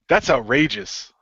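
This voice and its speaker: Excitable, bright-voiced goofy man. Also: very high voice, lo-fi sound, a little hiss or hum. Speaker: role-play player. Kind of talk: playful improvised in-character banter with narrated actions.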